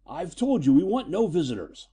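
A man's voice: on the high side, very animated, normal speed, dark tone, husky, voice pushed up. A man voicing a suspicious, haunted older scientist father in a story reading, severe and guilt-stricken, with hesitant phrasing.

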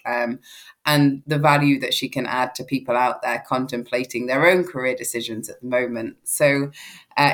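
A woman hosting a podcast interview, asking polished, friendly questions. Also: rumbling low. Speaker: host.

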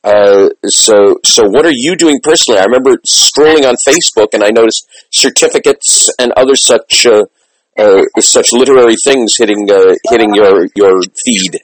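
Low, dry-sounding male interviewer. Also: normal speed, dry recording, poor audio. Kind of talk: conversational interviewing.

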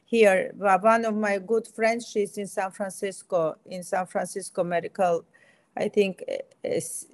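A woman's voice: mid-range voice, flat delivery, measured pace, no echo. A middle-aged woman from Armenia with an Armenian accent, answering as an interview guest in an enthusiastic, emphatic way. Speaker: guest, aesthetic medicine physician.

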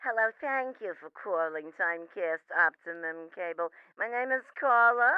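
A woman's voice: mid-range voice, lively intonation, moderate pace, very noisy, close to an everyday voice. A woman playing an apologetic, unhelpful cable call-centre representative in an audio comedy, with polite, formulaic sympathy over a phone line. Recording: band-limited telephone line sound.

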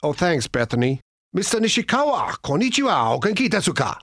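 An actor playing a wry, self-assured former radio boss with an Irish accent, narrating a comic radio play. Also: male voice, high voice, big pitch swings, a little fast, fairly bright tone, breathy edge, no room sound, clean studio sound, full character voice. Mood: feigned trouble.

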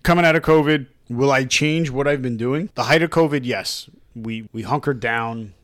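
Male podcast co-host, loud and jokey, mid-pitch, dry booth sound. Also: normal speed, dry recording. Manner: jokey and chatty, laughs often. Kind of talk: casual chat.

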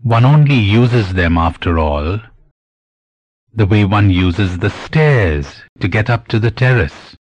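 A man narrating a scripted spiritual meditation in a measured, deliberate, instructive way, pausing between phrases. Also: lowish voice, lively intonation, dry recording, muffled recording.

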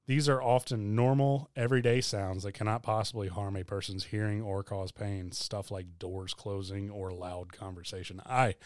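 A soft-spoken man co-hosting a casual podcast chat, laid-back with dry humor. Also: on the low side, flat delivery, normal speed, hardly any pauses, no room sound. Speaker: podcast co-host. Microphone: studio mic.

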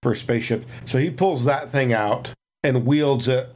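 A man's voice: mid-range voice, normal speed, a little mellow. A man playing a tabletop game, chattily and wryly describing what his character does and thinking aloud. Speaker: player.

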